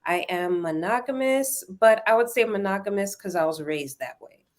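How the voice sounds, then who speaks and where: A woman raised in Miami, a panel guest speaking in a relaxed, unhurried way over a video call. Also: medium pitch. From Miami, United States.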